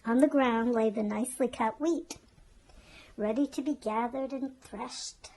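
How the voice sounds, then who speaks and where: A woman's voice: fairly high pitch, unhurried, warm tone, slight background noise, low-quality recording. A woman narrating a children's storybook as a storyteller.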